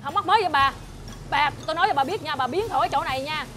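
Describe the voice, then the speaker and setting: A woman's voice: high-pitched, racing, music in the background, natural voice. An actress playing a touchy, boastful middle-aged rich mother in a comedic drama, scolding and exclaiming in a Southern Vietnamese accent. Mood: angry, boastful.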